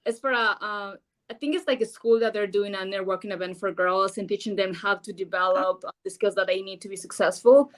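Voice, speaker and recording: A woman's voice: medium pitch, steady tone, fairly quick. Ecuadorian-accented woman, earnest and chatty. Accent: Ecuadorian Spanish. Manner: earnest, thinking aloud with many fillers. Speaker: guest, news anchor. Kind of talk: casual interview answers.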